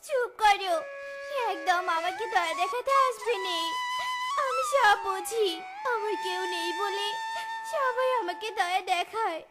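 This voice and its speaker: A woman's voice: extremely high pitch, unhurried. A woman voicing a meek, mistreated young orphan in a melodramatic cartoon, pleading and sorrowful. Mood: grieving. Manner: pleading, plaintive. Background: children's cartoon music.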